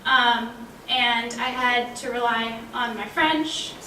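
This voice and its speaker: Young woman, panelist, conversational storyteller, higher-pitched, roomy recording with faint noise. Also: slow pace, pushed and bright. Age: 20s. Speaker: panelist, language graduate. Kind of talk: conversational panel talk with personal anecdotes. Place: college panel event room. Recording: roomy, distant mic, faint background noise.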